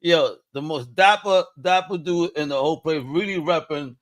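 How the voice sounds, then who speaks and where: A man's voice: a little high, normal speed, no echo. A man with a Puerto Rican accent hosting a podcast interview, talking in an enthusiastic, slangy, hyped-up way. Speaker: podcast host. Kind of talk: casual interview chat.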